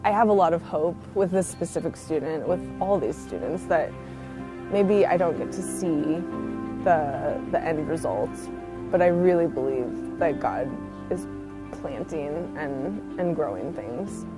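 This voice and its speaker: A woman's voice: low voice, unhurried. A woman missionary teacher giving an earnest, informal personal testimony in a conversational way. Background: background music.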